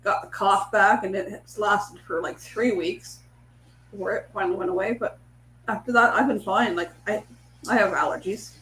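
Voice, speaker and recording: A woman's voice: medium pitch, steady tone, normal speed, a bit bright. Chatty, stuffy-nosed woman in an echoey room. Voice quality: stuffy, congested nose. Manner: rambling, chatty, with many restarts. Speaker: livestream cooking host. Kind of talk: casual chat on a live stream, greeting viewers. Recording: echoey room with faint hum.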